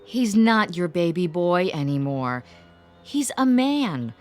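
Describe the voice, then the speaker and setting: A bossy, no-nonsense daughter in a radio drama. Her firm voice sits fairly low and moves with lively swings, over faint music. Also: slow. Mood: firm, insistent. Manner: firm, commanding. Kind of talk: old-time radio drama acting.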